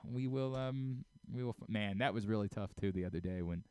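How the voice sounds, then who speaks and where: American man, sports radio host, casual talk, crisp voice, dry studio sound. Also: fairly low pitch, brisk, darkish tone, no echo.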